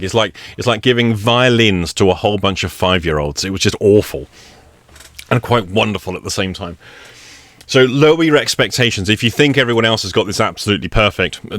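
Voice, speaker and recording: A man giving relaxed spoken instruction on a meditation practice, explaining it step by step in a wry, conversational way. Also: lowish voice, no echo. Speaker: meditation teacher.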